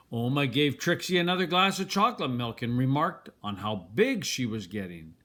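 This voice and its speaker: An older man reading a children's picture book aloud, expressively and deliberately, with dramatic pauses. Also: mid-range voice, a bit bright. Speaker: storybook reader.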